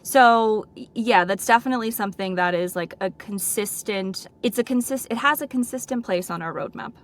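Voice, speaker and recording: A woman's voice: medium pitch, normal speed. Woman explaining as an interview guest. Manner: informal, peppers speech with "like" and "right?". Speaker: interview guest, product director. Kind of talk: conversational interview answers, explaining.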